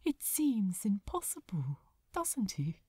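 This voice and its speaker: A woman voicing a gentle, wistful male angel from a story, speaking softly, breathily and politely. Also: medium pitch, very animated, slow, husky, no echo, clean studio sound, exaggerated voice. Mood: wistful, sorrowful. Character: gentle, wistful male angel, tender toward his friend.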